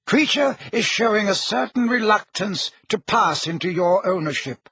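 A man voicing an elderly male wizard who speaks in a measured, courteous, dryly amused way. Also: high voice, expressive, pushed and bright, breathy edge, dry recording, studio quality, pitched above the performer's own voice, heavily acted. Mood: calm. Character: elderly male wizard headmaster, calm and wry.

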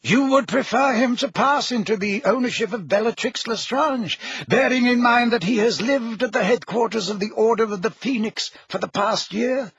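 A man voicing an elderly male wizard who speaks in a measured, courteous, dryly amused way. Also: very high-pitched, a bit bright, slightly rough, highs cut off, pitched above the performer's own voice, heavily acted. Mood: calm. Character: elderly male wizard headmaster, calm and wry.

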